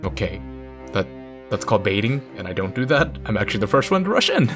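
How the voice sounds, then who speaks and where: A man's voice: lowish voice, very animated, fast talker, music in the background. A man reading a visual novel aloud in a narrating style, with casual asides.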